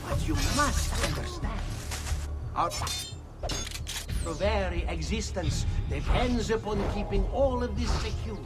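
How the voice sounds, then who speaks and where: Bitter overseer giving a slow speech, voice swings up and down, loud music under it. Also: male voice, sits high, lively intonation. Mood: menacing, condescending. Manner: deliberate, oratorical, swooping emphasis. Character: embittered, controlling male overseer lecturing his guards. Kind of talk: dramatic video game monologue.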